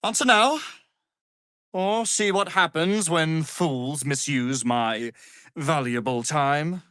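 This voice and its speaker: A man's voice: fairly high pitch, takes it slowly, a bit bright, dry recording, studio quality. A man voicing an arrogant, power-hungry wizard in a fantasy game, with a theatrical, pompous, condescending delivery. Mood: menacing, impatient.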